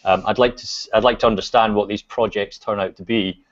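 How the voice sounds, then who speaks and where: A Scottish man, a guest on a video call show, chatting casually with relaxed fillers and restarts. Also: lowish voice, brisk. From Scotland. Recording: dull lo-fi video call audio.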